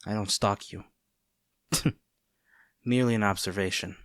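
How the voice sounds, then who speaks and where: Male actor as conflicted hero captor, lowish voice, earnest, halting delivery, dramatic pauses, tender toward his enemy, close dry audio roleplay. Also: steady tone, fairly bright tone, no echo. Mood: wry, conflicted.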